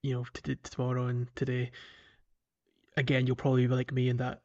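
A man with a medium-pitched voice speaks as a podcast co-host. He sounds easygoing and informal, talking through his impressions of a game in a loose, conversational style. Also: completely flat delivery, fairly quick, a little mellow, dull audio. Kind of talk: casual chat about a video game.

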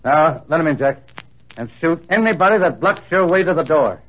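A man's voice: fairly high pitch, lively intonation, moderate pace, slight background noise, lightly acted. An actor playing a tough male crime boss in an old radio drama, barking orders. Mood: commanding. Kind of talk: 1930s radio drama acting. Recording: tinny old radio recording with crowd.

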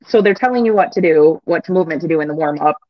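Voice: mid-range voice, fast talker, no echo, dull audio. A gym owner and coach joining a casual chat, direct and asking pointed questions.

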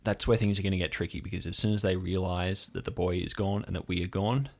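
A man playing a cautious, practical policeman planning a rescue in an improvised audio drama, halting and matter-of-fact. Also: low voice, fairly even intonation, creaky. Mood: worried, focused.

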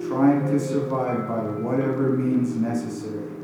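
A man talking in an unhurried, deliberate way, with casual answers and simple storytelling. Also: medium pitch, steady tone. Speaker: guest storyteller. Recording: roomy, distant, music behind.

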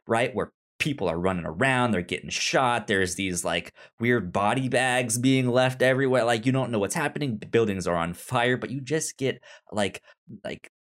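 A man co-hosting a podcast in casual discussion, halting and often stammering over repeated words. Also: medium pitch, fairly bright tone. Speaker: podcast co-host reviewing a TV series.

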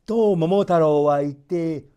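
A man's voice: on the high side, slow, warm tone, smooth, lo-fi sound. A man narrating a folk tale as a storyteller, in a theatrical scripted reading.

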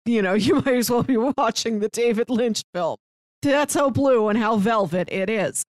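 A woman's voice: on the high side, normal speed, no echo, clean studio sound. A woman co-hosting a movie podcast, giving a casual, wry conversational recap. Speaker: podcast co-host reviewing films.

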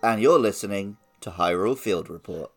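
A man's voice: on the low side, expressive, a little fast, faint music behind. A man co-hosting a podcast, talking in a casual, conversational way with lots of "like". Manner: conversational, peppers talk with "like". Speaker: podcast host.

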